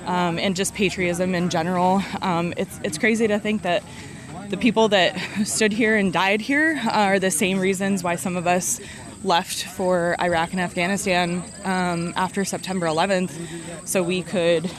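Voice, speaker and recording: A veteran in their thirties answers questions in a relaxed, friendly way, pausing often on "um" and "you know." The voice sits at an ordinary middle pitch, and the recording is made outdoors with a crowd of hikers chattering behind. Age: 30s. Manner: friendly, lots of fillers, laughs easily. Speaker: interview guest, veteran. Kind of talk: casual interview. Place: outdoors on a group hike along a road. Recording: outdoor crowd noise around.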